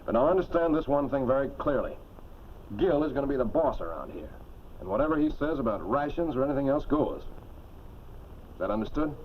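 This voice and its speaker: An actor playing a steady, decisive airplane skipper in a 1940s military film, speaking in a firm, measured way. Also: male voice, mid-range voice, natural voice. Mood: firm, resolved. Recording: dull, noisy 1940s film soundtrack.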